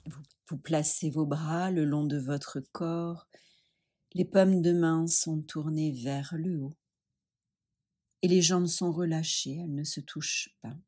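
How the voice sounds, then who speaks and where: A woman leading a guided yoga nidra meditation, speaking gently and soothingly with long pauses. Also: sits low, fairly even intonation, husky.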